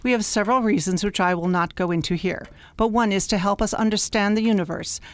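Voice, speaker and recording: A woman's voice: mid-range voice, brisk, lightly acted. Woman acting a precise, wise alien mentor. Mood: wise, serene. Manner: precise, schoolmistressy, gently scolding. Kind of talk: science fiction radio drama acting.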